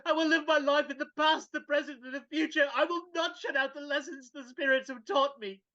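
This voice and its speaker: A woman's voice: high voice, fairly even intonation, a little fast, sharp tone. A woman playing an old reformed miser in an impassioned, theatrical live dramatic reading over a video call. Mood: pleading, fearful. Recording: dull video call audio.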